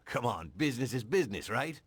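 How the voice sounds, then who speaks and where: An actor playing a cynical, righteous police detective in a video game, sarcastic and challenging. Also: male voice, mid-range voice, sing-song, fairly quick, slightly rough, dry recording. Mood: needling.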